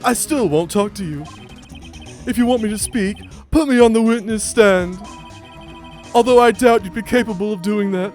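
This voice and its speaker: A man's voice: sits high, normal speed, a little mellow, faint music behind, lightly acted. A man voicing a smug, entitled corporate villain for a video game, pompous and theatrical with flowery made-up words. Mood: haughty, dismissive. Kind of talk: video game character voice-over.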